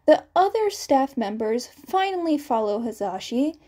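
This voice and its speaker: A woman's voice: high-pitched, sing-song, unhurried, warm tone. A woman reading scripted fan fiction as the narrator of a podfic.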